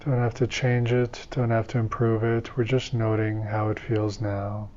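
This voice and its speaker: A man leads a guided meditation in a slow, soothing voice, instructing gently, one step after another. His pitch stays level, with almost no rise or fall. The audio is slightly dull and lo-fi. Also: completely flat delivery. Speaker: meditation teacher.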